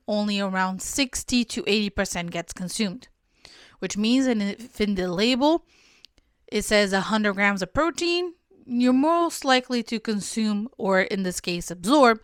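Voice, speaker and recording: A woman's voice: medium pitch, clean tone, no room sound, clean studio sound. A young woman from Portugal hosting a solo podcast, giving friendly, instructive advice and sometimes correcting herself mid-sentence. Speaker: podcast host.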